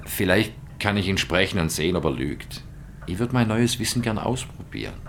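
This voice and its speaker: Very deep-voiced, calm investigator. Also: male voice, normal speed, vocal fry, slight background noise. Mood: calm, placating. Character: calm, reasonable male investigator soothing his girlfriend. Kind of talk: radio drama dialogue.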